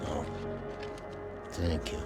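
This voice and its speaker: Polite resigned russian engineer stuck in the cold, game cutscene, halting english, music playing behind him. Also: male voice. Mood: resigned, sorrowful. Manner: halting, sparse non-native English phrasing. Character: stranded Soviet engineer, polite, resigned, practical man. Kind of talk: naturalistic video game drama acting. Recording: loud music behind dialogue.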